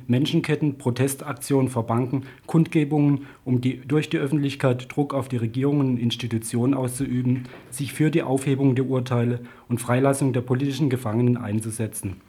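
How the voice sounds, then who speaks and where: A man's voice: medium pitch, fairly even intonation, fairly quick, hardly any pauses, mellow, husky. A man reading a scripted political news report on the radio.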